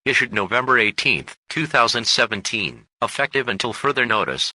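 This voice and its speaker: Male announcer for emergency bulletins. Very forceful and crisp-edged, formal, impersonal, with dry close sound. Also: mid-range voice, very animated, moderate pace, no room sound, low-quality recording. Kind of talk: scripted emergency broadcast announcement.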